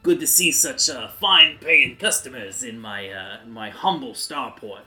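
Guy doing a smarmy starport hustler, mock italian accent, stammery, really bright sharp voice. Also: medium pitch, lively intonation, a little slow, soft background music. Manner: stammering, unctuous, hesitant. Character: slimy, self-important starport official angling to overcharge travelers.

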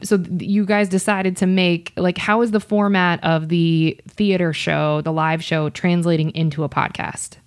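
An American woman hosting a casual interview, informal and enthusiastic, quick to joke along with her guest. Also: on the low side, level tone. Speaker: podcast host, interviewer.

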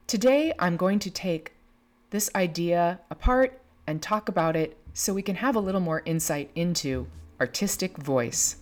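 A woman's voice: fairly low pitch, lively intonation, normal speed. A woman in her fifties hosting a podcast, speaking reflectively and reading quotations aloud from a script. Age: 50s. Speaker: podcast host.

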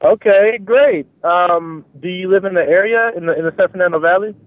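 High-voiced man on a tinny phone line. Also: no room sound. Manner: halting, repeats words. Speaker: church contact receiving a call.

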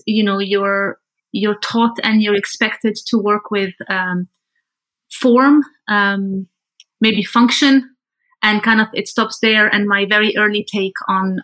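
A woman's voice: mid-range voice, bright, forceful tone, low-quality recording. A woman from Lebanon speaking as an architect guest in an interview, thoughtful and conversational, feeling out her phrasing as she goes.

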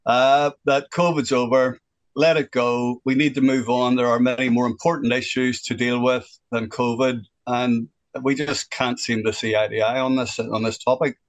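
A man's voice: medium pitch, level tone, moderate pace, poor audio. Earnest Northern Irish man in his sixties. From Northern Ireland. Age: 60s. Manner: earnest, opinionated, speaks at length. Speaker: radio guest. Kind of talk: phone-in radio chat.

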